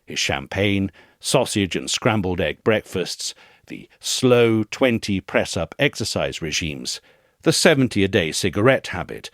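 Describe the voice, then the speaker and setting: A man reads a scripted literary essay in a measured, articulate and expressive way. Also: low-pitched, no echo, studio quality. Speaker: audio essay reader.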